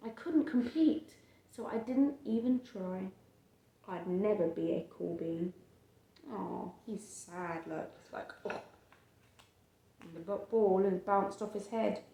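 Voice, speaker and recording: A woman reading a picture book aloud to a child and chatting about it, with expressive, drawn-out emphasis on phrases. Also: mid-range voice, vocal fry. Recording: roomy, echoey home recording.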